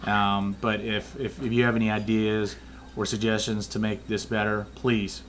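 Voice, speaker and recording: A man talks through a photography tip in a low, flat monotone. He rambles and restarts his sentences often, filling gaps with ums and uhs. A faint background hum sits under his voice. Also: normal speed. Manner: rambling, many fillers and restarts. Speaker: photography vlogger. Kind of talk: casual explanatory talk.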